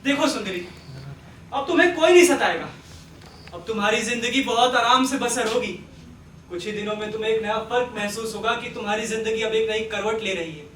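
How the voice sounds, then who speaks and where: Echoey, high-pitched earnest man acted on stage. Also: brisk, a bit bright. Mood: tender, persuasive. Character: earnest, persuasive family man courting a troubled woman. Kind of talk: Hindi stage play acting, projected. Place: theatre stage, recorded from a distance. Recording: echoey stage, distant mic, faint noise.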